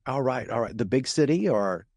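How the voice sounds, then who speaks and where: A man's voice: mid-range voice, animated, moderate pace, creaky, a little mellow, no room sound. A man speaking as a podcast host and casual interviewer.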